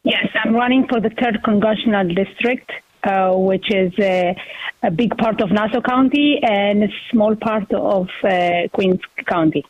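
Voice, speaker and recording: A woman in her forties from Ethiopia, a guest candidate speaking earnestly with many pauses and fillers. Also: medium pitch, dry recording. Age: 40s. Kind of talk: phone interview on talk radio. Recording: tinny phone line.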